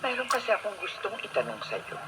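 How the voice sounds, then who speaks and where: A woman's voice: medium pitch, big pitch swings, brisk, recorded from a distance, natural voice. An actress with a Filipino accent playing a frank, protective middle-aged mother in a radio drama. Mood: probing. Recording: very noisy radio broadcast, off-mic.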